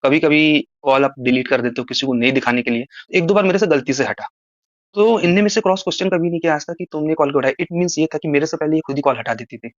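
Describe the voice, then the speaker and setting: Fast-talking man lecturing on a dull, dry phone call recording. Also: a little high, no echo. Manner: rapid, pleading, lecturing. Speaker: caller.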